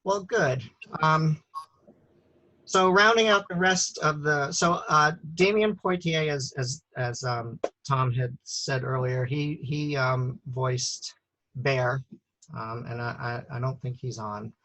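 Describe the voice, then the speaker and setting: A man's voice: medium pitch, takes it slowly, sharp tone, no echo, poor audio. A man hosting an online premiere chat, speaking with many fillers and restarts. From United States. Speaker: author and host.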